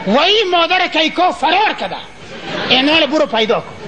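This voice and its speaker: An actor with a Kabul Afghan accent playing a bossy, greedy trickster fortune teller in a stage comedy, emphatic and repetitive. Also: male voice, very high voice, sing-song, a crowd in the background. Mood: bossy, scheming. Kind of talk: broad comedy stage play acting. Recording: old stage recording with loud audience.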